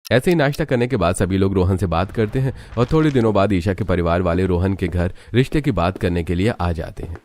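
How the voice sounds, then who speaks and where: A man's voice: low-pitched, a little fast, hardly any pauses, a little mellow. A man narrating a scripted cartoon family story.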